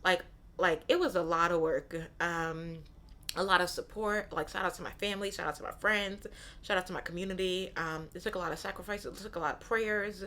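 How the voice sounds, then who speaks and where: A woman's voice: on the low side, fairly even intonation, brisk, bright, forceful tone. A woman in her thirties, born in Nigeria and raised in Brooklyn, hosting her own podcast in a candid, casual way, often repeating herself and adding "right?". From born in Nigeria, raised in Brooklyn, New York. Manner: candid, repeats phrases, frequent "right?" asides. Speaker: podcast host. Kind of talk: casual solo podcast talk.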